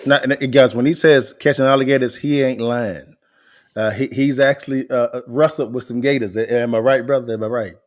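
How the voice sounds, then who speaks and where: A man's voice: medium pitch, steady tone, a little fast. Casual podcast host, friendly man. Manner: casual and encouraging, says "man" a lot. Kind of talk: casual interview chat.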